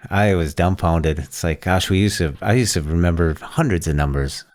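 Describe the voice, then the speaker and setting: A man's voice: low-pitched, normal speed, darkish tone. A middle-aged American man talking casually as the host of a podcast.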